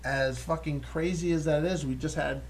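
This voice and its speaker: A man's voice: fairly high pitch, steady tone, normal speed, a little hiss or hum. A man hosting a casual comedy podcast, swearing freely and joking with his guests. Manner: loose, profane, laughing banter. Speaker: podcast host.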